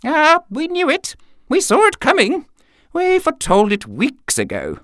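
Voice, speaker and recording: A man voicing gushing, self-satisfied female relatives in a story, excitable and pleased with themselves. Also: very high voice, a little slow, dry recording, studio quality, pitched above the performer's own voice, full character voice. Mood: rapturous.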